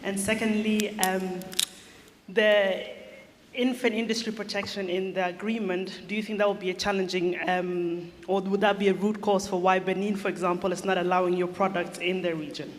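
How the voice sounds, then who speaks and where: A woman's voice: medium pitch, fairly even intonation, moderate pace. A woman from South Sudan putting a formal question from the audience at a live event. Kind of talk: prepared question from the floor. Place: large hall audience. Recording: echoey hall, roomy and noisy.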